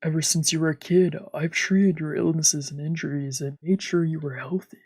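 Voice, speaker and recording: A woman voicing a stern, guarded male doctor from a visual novel, husky and breathy. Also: low-pitched, fairly even intonation, normal speed, darkish tone, dry recording, clean studio sound. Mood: earnest. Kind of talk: reading visual novel dialogue aloud.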